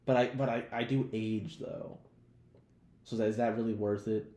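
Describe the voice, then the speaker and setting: A man's voice: on the low side, steady tone, normal speed, mellow, echoey room. A man co-hosting a podcast, chatting casually in slangy, profane banter peppered with "like". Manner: slangy, profane, laughs often, hedges with "like". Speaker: podcast co-host. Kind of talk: casual banter, would-you-rather questions.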